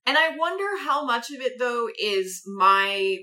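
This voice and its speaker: Wry woman with a bright voice. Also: on the high side, animated, a little slow. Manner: blunt, wry. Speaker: podcast co-host, comedian. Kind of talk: casual chat.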